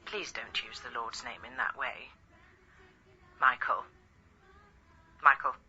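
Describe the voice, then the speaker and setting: A woman's voice: extremely low pitch, steady tone, takes it slowly, very noisy. A woman playing a prim, pious, disapproving older in-law in a radio comedy, heard through a telephone filter. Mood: prim disapproval. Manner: stern, primly scolding. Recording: muffled, band-limited telephone sound.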